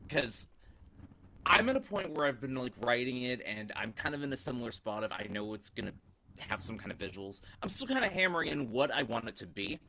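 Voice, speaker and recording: A man asks a workshop question, thinking aloud and pausing often. His voice is bright and forceful, with faint noise behind it. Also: mid-range voice, sing-song, gravelly. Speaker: workshop participant.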